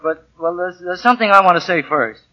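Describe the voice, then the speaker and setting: An actor playing a meek, halting, earnest young husband and clerk in a 1940s radio drama. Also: male voice, high voice, expressive. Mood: nervous. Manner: halting, deferential. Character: meek, nervous clerk husband finding his resolve. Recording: dull 1940 radio broadcast.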